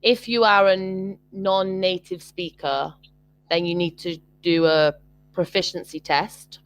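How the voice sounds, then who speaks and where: A woman's voice: lowish voice, fairly even intonation, measured pace, rough recording. A young British woman tutoring over a video call, chatting casually with frequent ums and restarts. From Britain. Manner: hesitant, many ums and restarts. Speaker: online English tutor.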